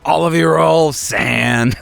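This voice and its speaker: A man's voice: fairly high pitch, takes it slowly, gravelly, soft background music. Casual, profane man running a horror game. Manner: profane, joking. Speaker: game master. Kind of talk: casual, improvised narration of a horror tabletop game.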